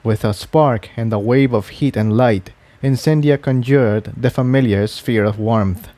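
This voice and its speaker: A man's voice: mid-range voice, a little slow, mellow, no room sound. A man reading a scripted adventure story aloud as its narrator. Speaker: fan fiction narrator.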